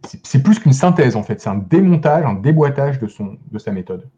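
Slightly muffled recording, guy with a mellow voice chatting on a podcast, keeps hesitating n repeating words. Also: medium pitch, very animated, brisk. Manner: hesitant, searching for words, repeating word starts. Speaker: guest film critic. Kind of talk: casual podcast discussion.

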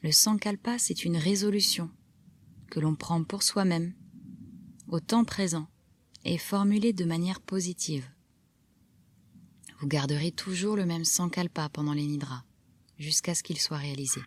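A woman guiding a relaxation meditation, giving calm, soft, measured instructions with pauses between phrases. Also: lowish voice. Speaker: yoga nidra guide. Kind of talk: guided relaxation meditation narration.

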